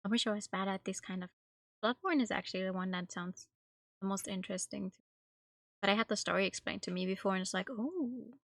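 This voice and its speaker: A woman's voice: mid-range voice, fairly quick, vocal fry, no room sound, studio quality. A woman live streaming a game, chatting casually and informally with her viewers. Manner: informal, conversational, talking to viewers. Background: background music.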